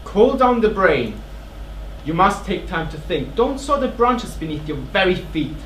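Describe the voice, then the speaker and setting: A man's voice: high voice, very animated, fairly bright tone, distant mic, full character voice. A young man playing an earnest, defiant accused royal brother-in-law in a stage tragedy, with declamatory delivery. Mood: pleading. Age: young adult. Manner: slow, declamatory. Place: theatre stage. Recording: echoey theatre from afar, faint noise.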